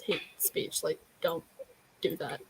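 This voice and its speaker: A woman's voice: slow, slight background noise, poor audio. A woman in her early twenties hosting a casual group chat over a video call, candid and self-deprecating. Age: 20s. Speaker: channel host.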